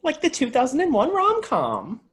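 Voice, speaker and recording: A man's voice: very high-pitched, huge pitch swings, moderate pace, fairly bright tone, full character voice. A man playing an earnest, dutiful video store clerk and movie buff in a comedy script read.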